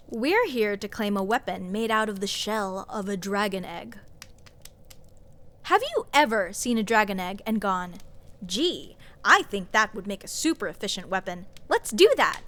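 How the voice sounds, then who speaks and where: A woman's voice: on the high side, pushed and bright, full character voice. A woman playing a snarky, exasperated sorceress adventurer in a fantasy comedy audio roleplay, sarcastic and nitpicky, stressing and stretching her words. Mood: exasperated, scoffing. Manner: sarcastic, scoffing, emphatic with drawn-out words.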